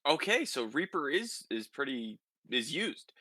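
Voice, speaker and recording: Bright-voiced man chatting casually on a podcast. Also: mid-range voice, sing-song, a little slow, no room sound, clean studio sound. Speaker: podcast co-host presenting game details. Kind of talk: casual podcast discussion of a video game.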